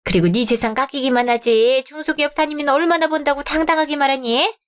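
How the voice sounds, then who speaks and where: An overbearing middle-aged mother in a radio drama, nagging and indignant, her voice sitting fairly high, as a woman voices her. She talks down to the young woman her son wants to marry. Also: a little fast, natural voice. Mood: scornful.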